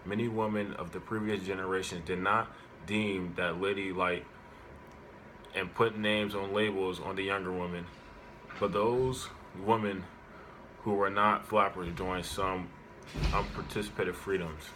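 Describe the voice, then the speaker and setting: A man with a low, bright-edged voice delivers a prepared informative speech. He reads it aloud in halting, broken phrases, with some background noise around him. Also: steady tone, poor audio. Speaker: student speaker giving an informative speech.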